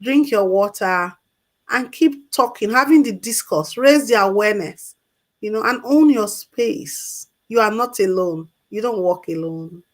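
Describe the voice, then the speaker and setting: A woman's voice: mid-range voice, measured pace. A woman on a call-in talk show giving health advice in an emphatic way, repeating her key points. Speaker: health expert guest.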